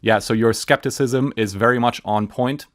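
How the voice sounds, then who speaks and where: A man's voice: mid-range voice, fairly even intonation, moderate pace. A man in his thirties with a German accent, answering viewer questions about acoustics in a casual, enthusiastic way with plenty of fillers and restarts. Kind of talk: casual explanatory talk. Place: home studio room with acoustic treatment, indoors. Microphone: studio microphone on a stand in front.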